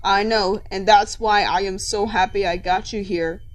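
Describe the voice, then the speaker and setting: A woman's voice: mid-range voice, steady tone, moderate pace, close to an everyday voice, poor audio. A woman playing a cheerfully menacing male robot villain who taunts with false friendliness in a story reading. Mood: smug menace. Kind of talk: amateur dramatic fan fiction reading.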